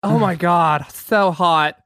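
A man's voice: sits high, slow pace, a bit bright, smooth. Blunt English man, crude podcast banter. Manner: blunt, mocking, profane. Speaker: podcast co-host.

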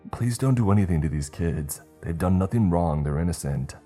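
A man voicing an earnest, naive scout leader who shouts orders and pleads. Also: low voice, moderate pace, soft background music, close to an everyday voice. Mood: pleading. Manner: urgent, pleading.

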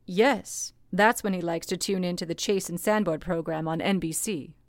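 Woman playing wry, lowish-voiced supervisor. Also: moderate pace, no room sound, natural voice. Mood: amused, confiding. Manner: dry-humoured, confiding, instructive. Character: wry, brisk female chief telephone operator. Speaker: actor in a radio play. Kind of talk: old-time radio play acting.

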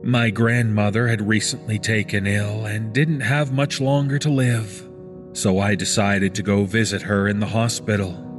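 Slow-paced man narrating horror over music. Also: fairly low pitch, steady tone. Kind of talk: scripted first-person horror narration. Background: background music.

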